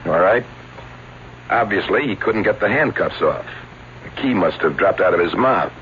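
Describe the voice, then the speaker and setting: An actor playing a loyal, defensive escape-act associate in a 1970s radio drama, speaking in an earnest but evasive way. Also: male voice, low voice, expressive, moderate pace. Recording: muffled, faintly noisy old radio broadcast.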